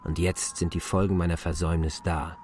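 An actor playing a stubborn, impatient, reproachful land surveyor in a radio drama, terse and self-assured. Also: male voice, deep voice, flat delivery, brisk, a little mellow, slight background noise, lightly acted. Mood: grave.

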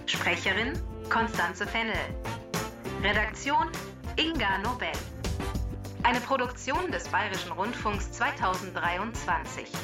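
A woman reading scripted quiz questions and facts for a children's podcast in a measured, formal delivery. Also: mid-range voice, expressive, far from the mic, over music.

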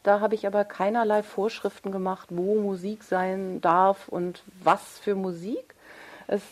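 A woman's voice: medium pitch, flat delivery, measured pace. A woman speaking as an interviewed writer, thoughtful and articulate, searching for precise wording as she reflects on literature. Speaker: writer interviewed about own radio play.

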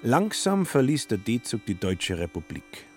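A man narrating a literary radio play in a measured, wry storytelling manner. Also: medium pitch, sing-song, faint music behind.

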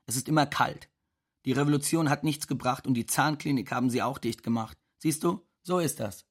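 A man's voice: mid-range voice, brisk, natural voice. An actor playing a resigned, plain-spoken man parted from the woman he loves, in a conversational audio drama monologue of short simple sentences.

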